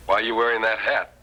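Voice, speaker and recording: An actor playing a calm, upright masked western hero with measured, authoritative diction in an old radio drama. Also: male voice, lowish voice, a little hiss or hum, rough recording. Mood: calm assurance. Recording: vintage radio drama, faint music.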